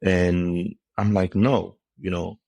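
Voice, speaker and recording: A middle-aged man from Cameroon, a podcast guest speaking in a thoughtful, measured way. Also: sits low, level tone, creaky, a little mellow, no echo. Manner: thoughtful, measured, with pauses and restarts. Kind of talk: conversational interview.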